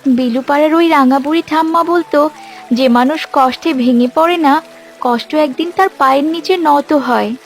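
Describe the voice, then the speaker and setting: High-pitched, gentle young girl voiced by a woman. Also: normal speed, faint music behind. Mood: consoling. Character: caring, wise young daughter of a poor fisherman. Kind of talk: Bengali cartoon dialogue.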